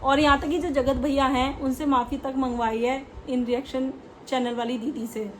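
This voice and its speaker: A woman's voice: high-pitched, little pitch movement, normal speed, slight background noise, low-quality recording. A woman hosting a reaction video, giving casual, conversational opinion commentary in an explanatory way, mixing in English words.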